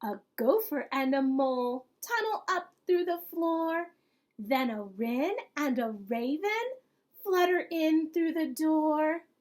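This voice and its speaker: A woman reading a picture book aloud as a preschool teacher, expressive and pausing to put questions to young listeners. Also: sits high. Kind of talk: expressive children's storytime read-aloud.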